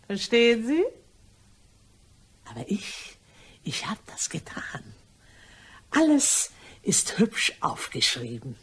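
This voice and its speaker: A woman's voice: mid-range voice, wildly swooping pitch, slow pace, pauses often, husky, exaggerated voice. A woman playing a sly, chatty cleaning lady turned blackmailer in a crime radio play, ingratiating and mock-innocent in manner. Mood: smugly threatening.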